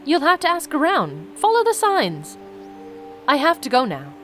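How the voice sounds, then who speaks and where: A woman playing a patient, courteous king in a fantasy game, instructive and unhurried in manner. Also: high-pitched, huge pitch swings, faint music behind, full character voice. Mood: brisk.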